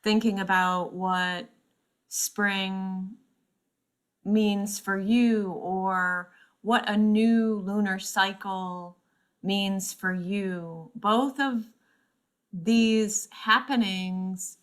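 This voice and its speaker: Woman, yoga nidra teacher. Extremely slow, measured guided-meditation delivery, medium pitch, long breaks within phrases. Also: fairly even intonation, clear, resonant tone. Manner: measured, pausing often mid-phrase.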